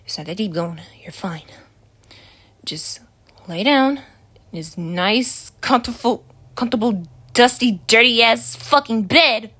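A woman's voice: fairly high pitch, unhurried, a bit bright, husky. A woman voicing a jumpy, foul-mouthed teenage boy in an ASMR roleplay, swearing and being dramatic. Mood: scared.

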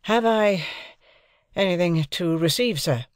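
A man voicing a polite, hesitant young gentleman in an audiobook, careful and deferential. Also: high voice, unhurried, smooth, no echo, studio quality, voice pushed up. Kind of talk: audiobook character dialogue.

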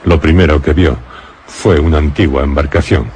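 A man's voice: extremely low pitch, moderate pace, darkish tone, slight background noise. A man from narrating a scripted radio horror and suspense drama in a solemn, dramatic storytelling manner. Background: music.

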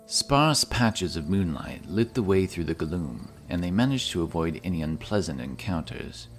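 A man narrating a scripted fantasy audiobook in a measured, dramatic way. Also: low-pitched.

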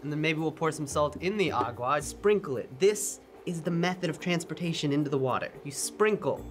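A woman's voice: sits low, expressive, fairly quick, slight background noise. A woman bantering casually on camera while cooking.

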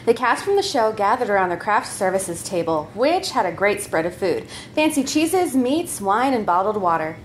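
A woman's voice: medium pitch, very animated, moderate pace, few pauses, a little hiss or hum, low-quality recording. A woman reading a comedic story aloud live, narrating in a playful, giggly way and readily breaking character. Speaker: troupe member reading own comedy story.

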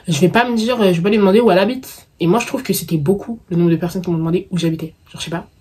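A woman's voice: fairly low pitch, racing, warm tone, breathy edge. A woman in her early twenties with a Parisian suburban French accent chats casually and playfully to camera in slangy speech, tossing in English catchphrases. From Paris suburbs, France; of Congolese descent. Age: early 20s. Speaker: vlogger answering viewer questions.

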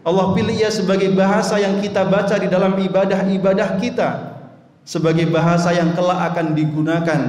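A young man from Indonesia giving a Friday sermon at a lectern in a mosque, speaking into a handheld mic in a measured, earnest preaching manner. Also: high voice. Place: mosque hall, indoors. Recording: echoey mosque hall with long reverb.

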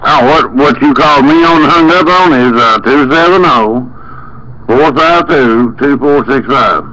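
A man's voice: medium pitch, measured pace. Folksy rambling man, noisy recording. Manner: folksy, rambling, repetitive, exclaiming. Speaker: prank caller. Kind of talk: phone prank call, put-on indignant complaining. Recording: noisy phone prank recording, steady background noise.

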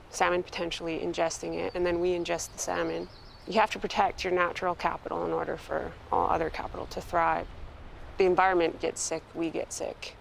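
A woman's voice: on the low side, gravelly, poor audio. A woman in her thirties giving serious answers in an outdoor interview. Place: outdoors on a waterside wooden dock. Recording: outdoor recording with faint ambient noise.

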